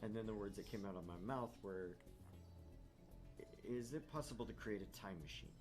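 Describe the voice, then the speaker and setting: A man with a lowish voice narrates a visual novel as a gaming host. He slips between reading and casual asides, with music playing behind him. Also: normal speed.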